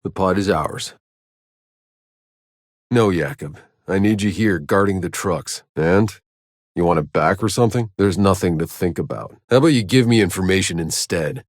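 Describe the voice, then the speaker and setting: Gruff, sardonic game mercenary: low register, terse and wry delivery, dry studio sound. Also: male voice, moderate pace, no room sound. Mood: sardonic, firm. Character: gruff, sardonic male mercenary survivor on a hostile planet. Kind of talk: video game voice lines.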